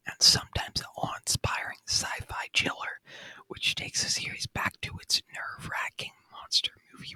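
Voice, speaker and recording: Male ASMR host, fully whispered review delivery, bright airy hiss, intimate close-miked dry sound. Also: no room sound, rough recording. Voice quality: breathy unvoiced whisper. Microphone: close microphone.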